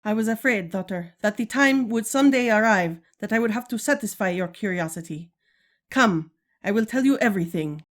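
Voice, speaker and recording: A woman's voice: medium pitch, animated, a little fast, lightly acted. A woman playing a regal, stern but caring Amazon queen and mother in a 1940s-style radio serial, formal and commanding. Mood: grave, resigned.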